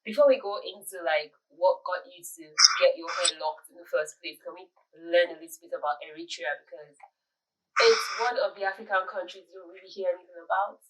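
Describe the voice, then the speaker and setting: A woman's voice: on the low side, a little fast, low-quality recording. A woman with a Nigerian accent who hosts a podcast and interviews her guests in a playful, chatty way. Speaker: podcast host.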